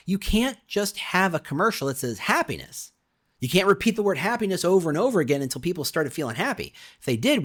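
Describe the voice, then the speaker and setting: Animated higher-voiced man narrating emphatically. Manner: emphatic, rhetorical questions, direct address to listener. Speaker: author reading own business audiobook. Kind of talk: scripted narration, conversational explainer.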